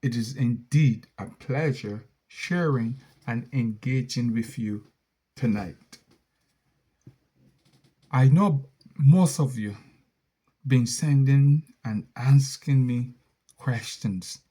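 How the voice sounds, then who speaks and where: A man's voice: mid-range voice, slow pace, long pauses, mellow, husky. A middle-aged man with a Barbadian accent, a content creator casually answering viewer questions in a rambling, self-correcting way. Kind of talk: casual Q&A monologue.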